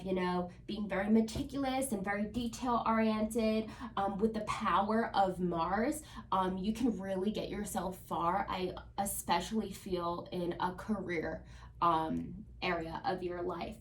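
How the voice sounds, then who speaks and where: A woman's voice: mid-range voice, reverberant, distant mic, slight background noise. A woman with a cold, sounding congested, chatting casually through a tarot reading with lots of ums. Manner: chatty, with frequent ums and likes. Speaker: tarot reader.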